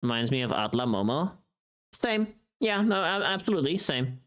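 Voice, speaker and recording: A woman's voice: low-pitched, very animated, moderate pace. A woman livestreamer chatting casually with her viewers in a playful, teasing and bluntly informal way. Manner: playful, teasing, reacting to chat.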